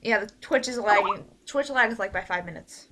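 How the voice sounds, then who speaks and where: A woman's voice: medium pitch, normal speed, crowd noise. A woman hosting a casual livestream over a voice call, informal and rambling, with a bossy streak. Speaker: host and leader of an amateur radio play group. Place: online voice call.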